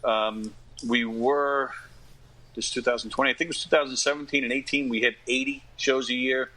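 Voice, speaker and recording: An American man in his fifties talking casually as an interview guest, in a rambling, self-effacing, animated way. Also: medium pitch, normal speed, poor audio. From United States. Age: 50s. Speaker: guest, actor and comedy dinner theater director.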